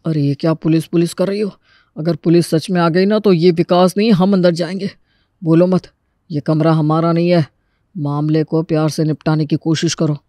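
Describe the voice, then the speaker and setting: An exasperated male landlord played by an actor in a comic cartoon story. His voice is high for a man, fed up and pleading for peace. The recording is dry and close. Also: fairly even intonation, normal speed, mellow, no echo, natural voice. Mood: anxious. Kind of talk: Hindi comic story dramatization.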